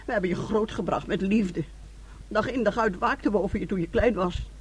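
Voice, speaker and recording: An actress playing a domineering, guilt-tripping middle-aged mother in a radio play, with a husky voice and scolding, melodramatic delivery. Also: medium pitch, fairly quick, slight background noise, lightly acted. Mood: wounded reproach.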